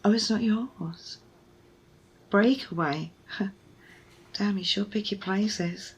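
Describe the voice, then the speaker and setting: A woman's voice: mid-range voice, extremely slow, slightly rough, muffled recording, full character voice. A woman playing a wry, evasive young rescuer in an intimate ASMR audio roleplay, with a sardonic, hesitant manner. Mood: awkward, reassuring. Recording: noisy ambience behind close voice.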